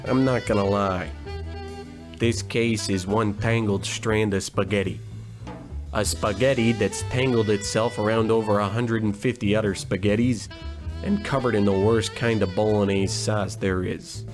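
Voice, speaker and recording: A man playing a cocky, dim-witted hard-boiled private detective in a noir radio comedy, deadpan and self-assured. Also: on the low side, unhurried, over music, close to an everyday voice. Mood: deadpan, brooding.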